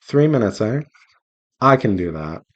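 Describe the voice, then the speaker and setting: A man with a lowish voice that swings up and down in lively, animated leaps. He rambles casually and playfully, half to himself and half to his viewers, as he works. The recording sounds a little dull and muffled. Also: unhurried. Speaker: visual novel developer streaming while drawing. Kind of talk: casual rambling chat while drawing.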